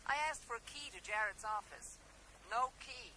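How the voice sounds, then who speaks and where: An actress playing a brisk, determined and observant amateur sleuth in a radio drama, sounding conspiratorial. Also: female voice, on the high side, sharp tone. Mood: brisk, intrigued. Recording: slightly noisy 1980s radio drama.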